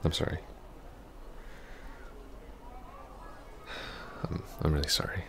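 A man playing an awkward, apologetic young ex-boyfriend in an ASMR roleplay, halting and stammering. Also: over music, lightly acted. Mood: hurt, apologetic. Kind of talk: ASMR roleplay, soft intimate acting.

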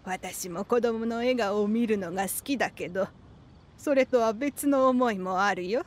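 A woman playing a kindly elderly craftswoman in a video game, with an aged voice and gentle manner. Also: medium pitch, close to an everyday voice. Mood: kindly, content. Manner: gentle, unhurried. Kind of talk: video game character acting.

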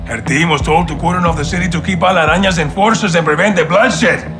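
A man's voice: a little high, fast, close to an everyday voice. A man playing a proud, indignant special forces colonel in a military game, confrontational, with a Mexican accent. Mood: accusing, bitter. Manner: confrontational, heated, slipping into Spanish. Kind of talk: cinematic military game acting. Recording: loud music over the dialogue. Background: loud music.

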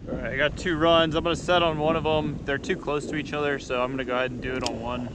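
A man's voice: a little high, fairly even intonation, fast talker. A man in his thirties vlogging while fishing on a riverbank below a dam, talking matter-of-factly to a body-worn action camera. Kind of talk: casual outdoor vlog narration. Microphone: action camera, chest or head mounted. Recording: outdoor noise, wind and water. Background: wind and water.

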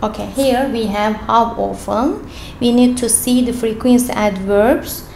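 A woman's voice: on the high side, steady tone, measured pace, gravelly, a little mellow, echoey room, slight background noise. A woman teaching English, reading worksheet questions aloud and explaining each answer step by step. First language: Turkish. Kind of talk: worksheet walkthrough lesson. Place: indoors at a desk over a paper worksheet.

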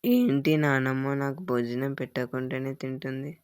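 A man's voice: medium pitch, moderate pace, a little mellow, smooth, close to an everyday voice. A man playing a lazy, easygoing young son in a children's moral story. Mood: casual.

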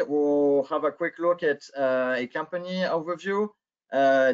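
A man in his thirties with a French accent, giving a webinar presentation on a video call through a headset, with many filler sounds. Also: mid-range voice. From France. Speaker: guest speaker, sales manager. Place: indoor office, video call. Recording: dull lo-fi video call audio.

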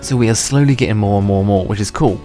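Rambling young man with a low voice. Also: fast, warm tone. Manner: rambling, self-interrupting, chuckles to himself. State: unwell, slightly worn voice. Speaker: gaming channel host. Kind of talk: casual gameplay commentary. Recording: faint background music under voice.

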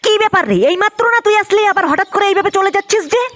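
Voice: extremely high pitch, rapid-fire, a loud crowd. An actor voicing a bald, aging bachelor in a comedy cartoon, chatty and teasing, with a processed cartoon voice. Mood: nosy, teasing. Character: older bald bachelor man, teasing village gossip. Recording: loud music behind voice.

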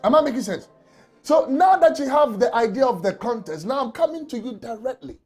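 A man preaching an emotional sermon from a small office on a lapel mic, often shouting and crying out. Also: extremely high pitch, lively intonation, a little fast, breathy edge, faint music behind. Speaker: pastor.

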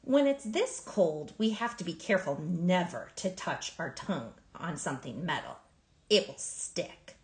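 Woman, children's book read-aloud, expressive storytelling, lively intonation, echoing room recording. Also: lowish voice, a little slow. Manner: animated, emphatic storytelling. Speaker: storybook reader.

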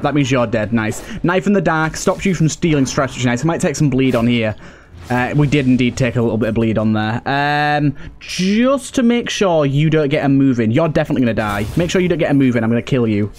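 A British man giving casual let's play commentary, thinking aloud and addressing the characters on screen as he goes. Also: mid-range voice.

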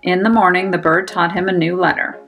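A woman reading a children's storybook aloud with expressive, careful enunciation for young listeners. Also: lowish voice, a little fast. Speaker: storybook reader. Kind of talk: scripted children's storybook narration. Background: music.

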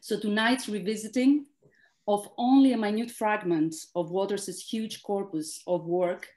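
A woman whose first language is Greek gives a prepared academic talk in a measured, formal way, with frequent filler sounds. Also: medium pitch, fairly even intonation, a little mellow. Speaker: academic speaker.

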